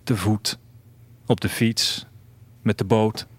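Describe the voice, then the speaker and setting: A man's voice: fairly low pitch, steady tone, slow, slightly rough. An actor playing a reflective man of a future world, narrating a radio drama intimately in the first person. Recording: music under the voice. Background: music.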